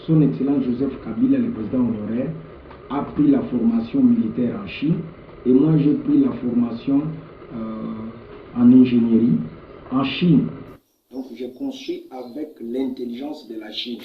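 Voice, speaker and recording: A man's voice: medium pitch, fairly even intonation, a little slow. Congolese man in an echoey, muffled recording. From Democratic Republic of the Congo. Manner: emphatic, repeats words and phrases. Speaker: political party leader being interviewed. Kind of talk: impassioned political interview answers. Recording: echoey, muffled, faint background noise.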